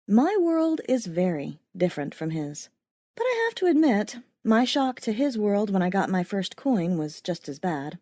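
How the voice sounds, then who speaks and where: A woman narrating scripted first-person fiction as an audiobook, with a wry, expressive storytelling manner. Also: mid-range voice, no room sound, studio quality.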